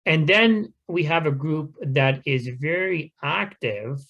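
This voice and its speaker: Man speaking earnestly and deliberately. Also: a little high, expressive, a bit bright, lo-fi sound. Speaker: guest speaker at a community talk. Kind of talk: unscripted informational talk.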